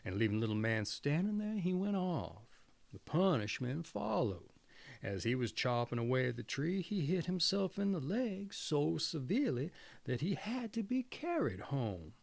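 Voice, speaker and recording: A man's voice: fairly high pitch, sing-song, normal speed, vocal fry, no room sound. A man reading fairy tales aloud as a storyteller, dramatic and folksy, sometimes correcting his own reading. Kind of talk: fairy tale narration, read aloud.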